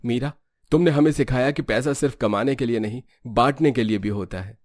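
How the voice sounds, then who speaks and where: A man's voice: mid-range voice, a little fast, a little mellow, dry recording, clean studio sound, natural voice. A man playing a busy, practical young husband and businessman in a dramatized moral story. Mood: appreciative.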